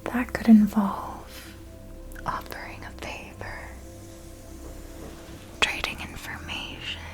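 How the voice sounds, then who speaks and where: A woman plays an elder vampire mistress, seductive and quietly menacing, who whispers close to the mic. Her breathy words come slowly and deliberately, in formal, theatrical phrasing, as she instructs in an ASMR roleplay with music behind her. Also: natural voice. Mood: instructive, measured. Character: seductive elder female vampire mentor, knowing, possessive and menacing.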